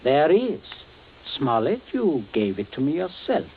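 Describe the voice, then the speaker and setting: A man's voice: on the high side, wildly swooping pitch, unhurried, full character voice. An actor playing a cold, ruthless middle-aged father in a radio drama, speaking in a precise, menacing way. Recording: tinny old radio drama recording, faint hiss.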